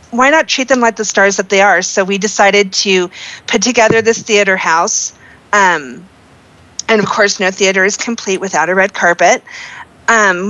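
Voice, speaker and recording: A woman's voice: mid-range voice, a bit bright, no room sound, rough recording. A woman giving earnest, casual interview answers as a member of a charity team. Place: online voice chat in a virtual world.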